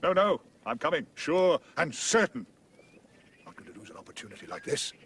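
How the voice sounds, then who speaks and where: A man playing a lugubrious, fatalistic marsh-dwelling creature in an audio drama, speaking in a doleful, deliberate manner. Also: fairly high pitch, big pitch swings, fairly bright tone, slightly rough, heavily acted. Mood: gloomy resolve. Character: gloomy, lugubrious marsh-wiggle guide, dourly fatalistic grown male creature.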